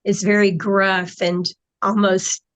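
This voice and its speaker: A woman's voice: medium pitch, flat delivery, slow. A woman in casual conversation, explaining her spiritual channeling in a reflective way, with trailing phrases.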